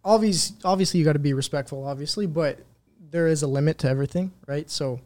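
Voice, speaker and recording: A young apprentice electrician answers haltingly in a loose roundtable chat and fills his sentences with ums and likes. His voice sits a little high for a man. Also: darkish tone. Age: young adult. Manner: hesitant, halting, many fillers. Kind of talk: casual podcast chat.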